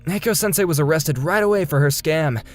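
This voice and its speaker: A man's voice: fairly high pitch, fairly quick, faint music behind, natural voice. A man playing an earnest, wronged but forgiving young man who narrates his story in a manga dub. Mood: explanatory. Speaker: narrator and lead character.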